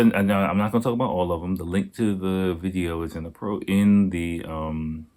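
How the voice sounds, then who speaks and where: A slightly hoarse man hosting a casual solo podcast, talking in a laid-back, rambling way with plenty of swearing. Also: sits low, darkish tone. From United States. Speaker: podcast host. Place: Japan.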